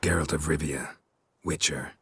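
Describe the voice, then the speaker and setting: Gruff witcher for a fantasy game: extremely low, husky rasp, deadpan and terse, recorded dry. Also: moderate pace, dry recording, full character voice. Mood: terse, businesslike. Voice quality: gravelly, husky. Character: gruff, deadpan monster-hunting witcher, middle-aged man. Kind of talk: video game acting.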